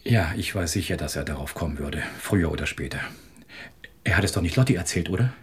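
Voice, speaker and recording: An actor in a German radio drama playing a guilt-ridden married man, hesitant and apologetic as he confides, with a husky, creaky voice. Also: low voice, lively intonation, quick pace, full character voice. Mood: relieved, anxious. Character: guilt-ridden married man nervously confessing a secret affair.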